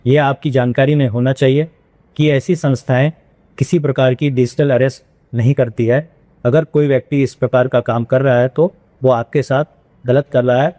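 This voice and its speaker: A man, a senior police officer, giving a formal, deliberate public awareness address with pauses between phrases. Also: mid-range voice, fairly even intonation, warm tone.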